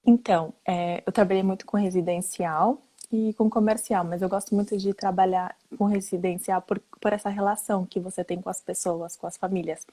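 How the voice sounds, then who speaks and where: A woman's voice: medium pitch, steady tone, moderate pace, few pauses, dark tone. A woman from Brazil, an architect, speaking earnestly and explaining her work as a guest in a casual online chat. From Brazil, grew up there.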